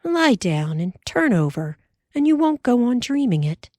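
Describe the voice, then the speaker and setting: Woman doing a calm frontier mother, raised voice. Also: high voice, measured pace, no echo, clean studio sound, voice pushed up. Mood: sleepy. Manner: soft, sleepy, then alarmed.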